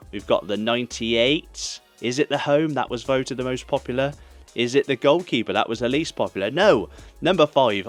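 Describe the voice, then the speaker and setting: A man's voice: fairly low pitch, fairly bright tone. A man in his thirties with an English accent, hosting a casual countdown vlog in a chatty, opinionated way, talking straight to viewers. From England. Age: 30s. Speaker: host of a football shirt channel. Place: small room full of football memorabilia. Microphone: small wireless mic held in hand. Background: music.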